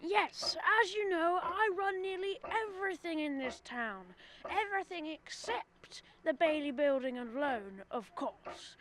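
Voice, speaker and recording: A woman's voice: high-pitched, lively intonation, measured pace, crowd noise, poor audio. A teenage girl acting a scheming, smug rich businessman in a radio drama, persuasive and self-important. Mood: smug persuasion. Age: teens. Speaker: student radio drama actor.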